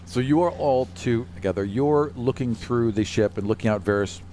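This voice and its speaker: A man narrating a tabletop role-playing game as game master, halting and descriptive with casual asides. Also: medium pitch, warm tone, a little hiss or hum.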